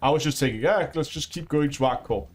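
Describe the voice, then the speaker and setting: A man's voice: on the high side, fast talker. A man with a Danish accent hosting a casual gaming livestream, chatting with viewers and laughing often. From Denmark. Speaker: livestream host.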